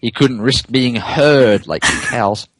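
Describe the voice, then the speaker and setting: A man's voice: medium pitch, expressive, slow, fairly bright tone, no room sound. A man who co-hosts a podcast, giving a giggly, theatrical comedic reading of fan fiction and stumbling over words. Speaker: podcast co-host.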